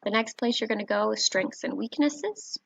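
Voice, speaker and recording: A soft-spoken woman, a teacher, gently walking viewers through a website on screen, with a warm voice and frequent fillers. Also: fairly high pitch, normal speed, vocal fry, noisy background. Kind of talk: screen-recorded tutorial walkthrough. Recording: dry, slightly dull home recording.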